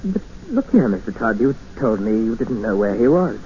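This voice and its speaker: A man's voice: lowish voice, sing-song, normal speed, dark tone. An actor playing a polite, uneasy male traveller in a 1950s radio drama, tentative and questioning. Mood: probing, uneasy. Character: courteous, uneasy male traveller stranded in the jungle. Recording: muffled, hissy 1950s radio recording.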